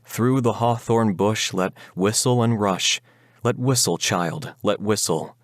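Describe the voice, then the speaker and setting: A man's voice: deep voice, no room sound, studio quality. A man voicing an undead horseman bridegroom in a Gothic ballad, urgent, commanding and ominous, with archaic, imperious speech. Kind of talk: dramatic Gothic ballad recitation.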